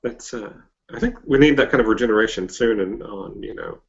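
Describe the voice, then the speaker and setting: An interviewer with an androgynous voice, hosting a casual chat and asking questions haltingly. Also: mid-range voice, gravelly. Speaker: interviewer, host. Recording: dull, lo-fi internet call.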